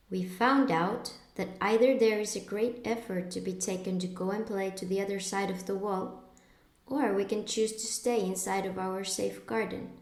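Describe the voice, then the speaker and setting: A woman narrating a guided meditation in a measured, instructive way, pausing between short phrases. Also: medium pitch, a little mellow, reverberant.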